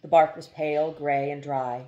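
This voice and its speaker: A woman's voice: sits low, fairly even intonation, moderate pace, warm tone, muffled recording. A woman narrating a novel as an audiobook reader, in a literary storytelling style.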